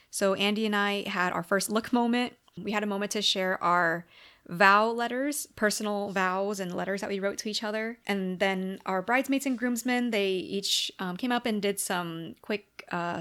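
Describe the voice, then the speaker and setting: A woman's voice: medium pitch, fairly bright tone. A young American woman in a casual sit-down video diary, chatting with many "like" and "um" fillers. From United States. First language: English. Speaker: tarot YouTuber. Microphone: camera mic at a sit-down chat.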